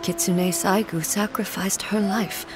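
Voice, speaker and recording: A woman's voice: medium pitch, fairly even intonation, music in the background, close to an everyday voice, low-quality recording. Woman playing a solemn, stately immortal female ruler. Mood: grave. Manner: measured, formal, stately. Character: solemn, regal immortal female shogun, grieving yet composed. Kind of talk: video game voice acting, formal dialogue.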